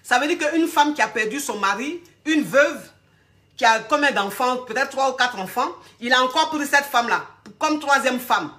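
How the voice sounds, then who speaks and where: A woman with a cold tells a long story straight to her viewers, repeating key phrases and checking that they follow. Her voice sits a bit high and sounds bright and forward. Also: lively intonation, moderate pace. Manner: repetitive, emphatic, addresses viewers directly. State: has a cold. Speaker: video host telling a story.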